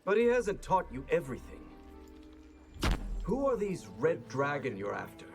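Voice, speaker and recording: Teasing male game fighter, high pitch, brash, high-spirited, animated, music behind. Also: normal speed, vocal fry, exaggerated voice. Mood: curious. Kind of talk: video game fight banter.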